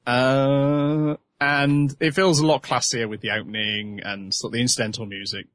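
A man's voice: mid-range voice, little pitch movement, normal speed, a bit bright, dry recording. A man hosting a casual podcast chat, steering the topics and laughing often. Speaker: podcast host. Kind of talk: casual chat about animation.

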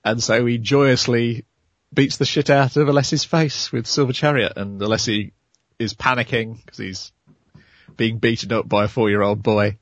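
A man's voice: mid-range voice, fairly even intonation, moderate pace, no echo, muffled recording. A man hosting a casual chat show, retelling an episode in a wry, giggly way while losing his voice. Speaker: podcast host recapping an episode.

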